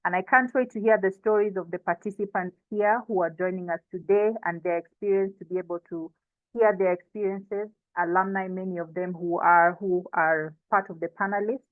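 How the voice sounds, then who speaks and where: Thin telephone-band sound, Kenyan woman, lowish pitch, informal online host, moderating a panel. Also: fairly even intonation, a little fast, dry recording. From coastal Kenya. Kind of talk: informal hosting, introducing panelists. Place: online video session. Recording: thin phone-like online call audio.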